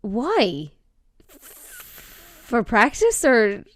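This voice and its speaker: A woman's voice: fairly high pitch, huge pitch swings, very slow, a bit bright. A woman with an Irish accent hosting a podcast, chatting casually and asking curious questions. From Ireland. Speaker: podcast host. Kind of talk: casual chat.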